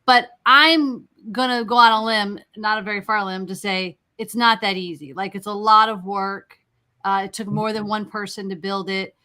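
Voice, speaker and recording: A woman with a bright, forward voice at a medium pitch hosts an interview. She talks conversationally and emphatically, leading into her questions with informal comments. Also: fairly even intonation, normal speed. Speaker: interviewer, panel moderator.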